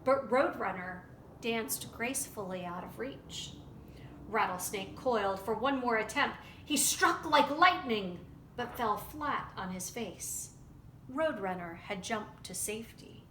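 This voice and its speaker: A woman tells a folktale with dramatic expression and deliberate phrasing. Her voice is bright and rather high, recorded in an echoey room with faint noise. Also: animated. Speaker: storyteller. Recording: roomy echo, faint background noise.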